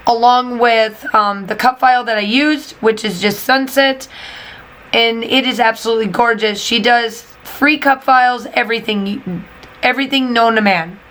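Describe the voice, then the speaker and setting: Bright-voiced woman, voice going hoarse. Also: fairly high pitch. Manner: chatty, venting, emphatic repetitions. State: losing her voice. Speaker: teacher and scrapbooking channel host. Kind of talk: casual voiceover while crafting. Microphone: voiceover mic.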